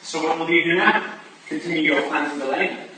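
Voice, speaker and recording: A man playing a genial gentleman who feigns drunkenness while slyly flattering and probing, in a staged period drama. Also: a little high, fairly quick, a crowd in the background, lightly acted. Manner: jovial, coaxing, inquisitive. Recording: echoey room from far off, noisy.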